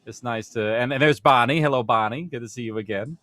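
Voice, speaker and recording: A man talking casually and haltingly, in a jokey way, as a panelist on a video call. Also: mid-range voice, a bit bright, no room sound.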